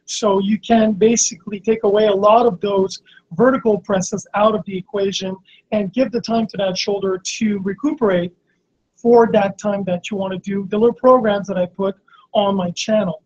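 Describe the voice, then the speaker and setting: A hoarse man with laryngitis, a fitness coach teaching on a live stream in a halting, explanatory way with many restarts. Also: high-pitched, steady tone, darkish tone. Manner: explanatory, frequent fillers and restarts. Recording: slightly dull lo-fi stream audio.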